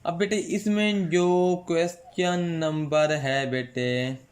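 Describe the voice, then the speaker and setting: A male math teacher, giving a patient online lecture with a sore throat, clearing it now and then. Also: a little high, steady tone. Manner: slow, patient, calls students "son" often.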